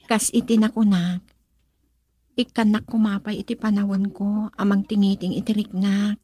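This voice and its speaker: A female actor with a Filipino accent and a breathy voice, playing a guarded, dutiful young woman in a romantic radio drama. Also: mid-range voice, little pitch movement, moderate pace, mellow, natural voice. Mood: guarded, gentle.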